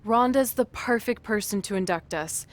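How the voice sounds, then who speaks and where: An actress playing a sensible, exasperated wrestler in a game cutscene, talking dryly. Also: female voice, mid-range voice, normal speed, close to an everyday voice.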